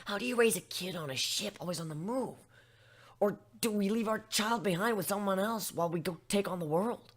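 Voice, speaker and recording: A woman voicing an anxious, self-doubting young female griffin in a story reading, agitated and halting in her speech. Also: medium pitch, close to an everyday voice. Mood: panicked, self-loathing. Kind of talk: fan fiction dramatic reading.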